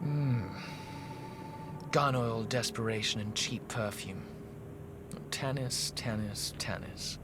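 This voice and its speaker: An actor playing an aristocratic ancient mummy in a video game, arch, witty and disdainful. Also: male voice, mid-range voice, slow pace, long pauses, noisy background, close to an everyday voice. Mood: haughty, cold. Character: aristocratic, sardonic, haughty ancient mummy dealmaker. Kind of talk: theatrical video game dialogue.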